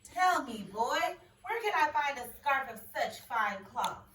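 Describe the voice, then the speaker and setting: A woman's voice: sits high, animated, a little slow, a bit bright, far from the mic, a little hiss or hum. A woman teacher voicing a greedy, haughty king in a storybook read-aloud. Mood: demanding. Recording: echoey room, voice from off-mic.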